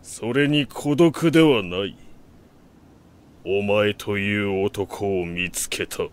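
An actor playing a proud, iron-willed warlord in an audio drama, speaking in solemn, imperious, archaic grand speech. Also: male voice, on the low side, slow pace, clear, resonant tone. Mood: grand, commanding. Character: proud, iron-willed male warlord bent on remaking the nation. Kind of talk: Japanese audio drama acting.

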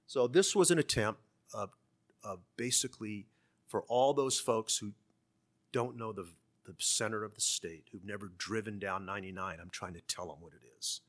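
A man in his sixties from California's Central Valley, an author speaking and reading to the public with measured, deliberate phrasing. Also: on the low side. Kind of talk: public talk and literary reading. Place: conference room. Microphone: gooseneck podium microphone.

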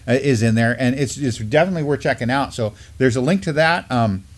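A middle-aged man co-hosting a casual news live stream, talking in a halting, rambling, conversational way. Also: mid-range voice. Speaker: morning show co-host. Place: indoor studio desk in front of a backdrop.

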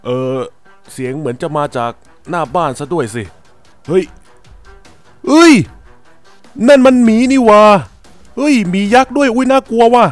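A man with a high voice performs improvised game roleplay. He talks colloquially and exclaims often, with music running behind him. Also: darkish tone. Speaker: creator voicing characters in a Minecraft roleplay video.

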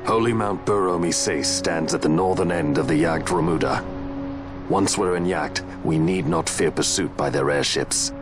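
A very deep-voiced, grave male companion, played by a man in a video game cutscene. He explains in formal, knowing phrases, with clear music in the background. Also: moderate pace, natural voice. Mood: grave, informative. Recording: orchestral music behind the voice.

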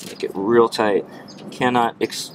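A man's voice: medium pitch, steady tone, a little slow. A man in his thirties giving a casual hands-on tutorial, thinking aloud as he works. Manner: casual, chuckling, thinking aloud while working. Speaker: DIY presenter. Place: outdoors at an open car. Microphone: camera set near the work. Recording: clear background noise, outdoor ambience.

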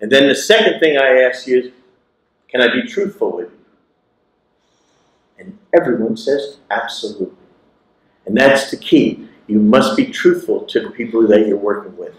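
A man's voice: medium pitch, lively intonation, moderate pace, pauses often. A man in his sixties giving a motivational keynote speech through a lapel mic, emphatic and repeating his key phrases. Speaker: keynote speaker. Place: large lecture hall. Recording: echoey lecture hall.

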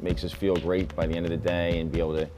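Very deep-voiced man at a pool. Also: flat delivery, quick pace, over music. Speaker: student veteran. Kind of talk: interview. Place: indoor pool hall.

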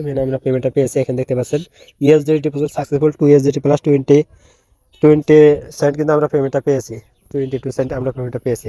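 Male voice-over, fast and monotone, mellow tone, dry close sound; tutorial walkthrough. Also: mid-range voice, dry recording, poor audio. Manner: rapid step-by-step instructions with fillers. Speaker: online earning tutorial creator. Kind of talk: screen-recorded app walkthrough.

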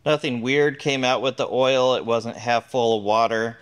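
A middle-aged man talks through his work in a big garage workshop, calm and matter-of-fact. His voice sits in an ordinary middle register, picked up by a camera mic close by. He explains each step plainly as he goes. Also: medium pitch, moderate pace, clean tone. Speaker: host. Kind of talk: hands-on workshop demonstration. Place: large garage workshop, indoors.

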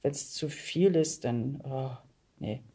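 A woman chatting informally as a podcast guest, often correcting herself, with a husky voice. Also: very deep voice, slow pace, warm tone. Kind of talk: casual chat.

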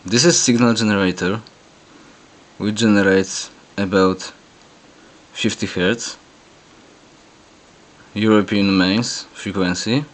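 A man narrating a step-by-step technical demonstration in halting, deliberate phrases, recorded indoors on a camera microphone. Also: lowish voice. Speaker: host of an electronics demo video. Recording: clear background noise on amateur camera audio.

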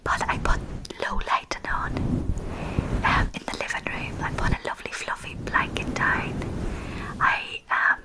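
Slow whispering woman, ASMR host. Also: low-quality recording. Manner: soft, slow, affectionate whisper. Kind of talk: whispered ASMR livestream, reading chat. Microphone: fluffy windscreen mic.

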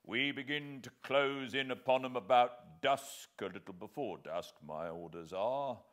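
A man's voice: medium pitch, measured pace, fairly bright tone, voice pushed up, close to an everyday voice. A man reading as a bluff, commanding army sergeant. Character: gruff hearty soldier sergeant, middle-aged man.

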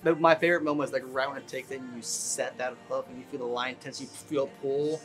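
Young man, laid-back interviewee, flat-toned, guitar bed underneath. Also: mid-range voice, quick pace. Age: young adult. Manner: relaxed, many fillers, gets excited. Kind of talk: casual interview talk. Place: outdoor balcony.

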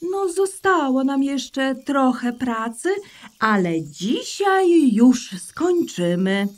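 A woman voicing a gentle, caring mother hare in a children's audio drama, soothing and tender. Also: high-pitched, very animated, drawn-out words, exaggerated voice.

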